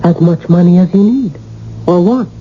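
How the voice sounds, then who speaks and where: A woman's voice: lowish voice, moderate pace, lightly acted. A woman acting a sly, seductive voodoo witch in a radio drama, coolly teasing and evasive. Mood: cool, tempting. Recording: dull, noisy old radio broadcast recording.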